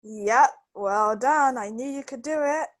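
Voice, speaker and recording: A woman's voice: a little high, measured pace. A woman tutoring a child one-on-one in an encouraging, reassuring way.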